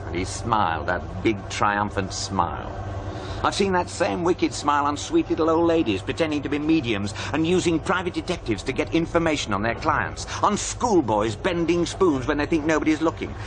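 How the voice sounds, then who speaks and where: An actor playing a wry, cynical paranormal sceptic, sardonic and world-weary in naturalistic audio drama dialogue. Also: male voice, on the high side, expressive, normal speed, close to an everyday voice, low-quality recording. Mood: bitter.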